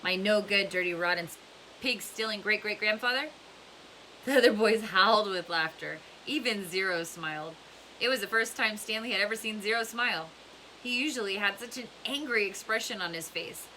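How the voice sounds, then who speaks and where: A woman's voice: medium pitch, brisk, pushed and bright, poor audio. A woman narrating a storybook aloud, with hiss in the recording. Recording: clear background hiss.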